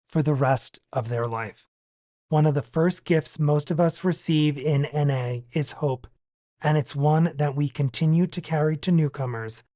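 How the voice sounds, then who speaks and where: A man's voice: a little high, mellow, dry recording, clean studio sound. A man reading a daily reflection aloud for a podcast. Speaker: podcast guest reader.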